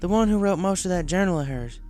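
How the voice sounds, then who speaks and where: A man plays a steady, plain-spoken workhorse mare in a storybook tale. The pitch is lifted, and music runs behind the voice. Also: fast talker. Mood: insistent.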